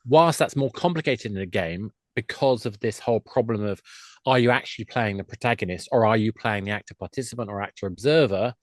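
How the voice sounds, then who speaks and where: Guy on a podcast explaining stuff at length, kinda low voice, really dry recording. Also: brisk, no room sound. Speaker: guest, games industry expert. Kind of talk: discussion of game storytelling.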